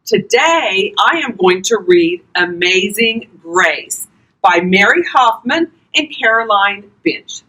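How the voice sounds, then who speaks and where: An older woman reading a children's storybook aloud expressively, talking directly to young listeners in a husky voice. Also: mid-range voice, lively intonation, slow pace, pushed and bright, poor audio. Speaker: volunteer grandparent reading to children.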